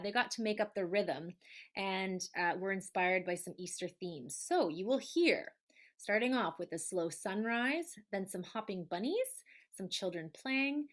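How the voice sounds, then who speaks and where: Woman hosting a student arts showcase. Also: medium pitch. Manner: appreciative, encouraging. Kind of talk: casual spoken introductions to performances.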